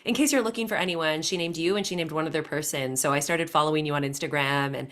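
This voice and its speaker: A woman hosting a podcast with a low-pitched voice. She speaks in a relaxed, chatty way and keeps nudging her guest to share more. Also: quick pace. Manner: easygoing, chatty and encouraging. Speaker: podcast host. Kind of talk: casual interview conversation.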